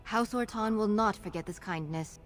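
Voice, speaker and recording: A woman's voice: mid-range voice, moderate pace, faint music behind, natural voice. A woman playing an earnest, grateful young dwarf noblewoman in a fantasy video game. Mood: grateful, proud. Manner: earnest, heartfelt.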